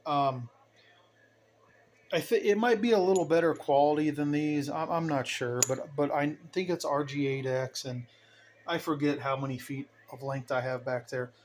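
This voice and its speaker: A man's voice: a little high, steady tone, moderate pace, a little mellow. Casual man, noisy home recording. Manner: folksy, rambling, thinking aloud. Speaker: hobbyist radio operator giving a station tour. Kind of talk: casual unscripted equipment walkthrough. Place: indoors at home radio bench. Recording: noticeable background noise, home video.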